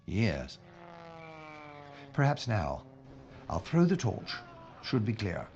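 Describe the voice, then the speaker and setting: A man playing a courteous, unflappable police inspector in wartime London, dryly understated and deliberate, in an audio drama. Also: on the low side, huge pitch swings, highs cut off, very noisy, heavily acted. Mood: calm, wry.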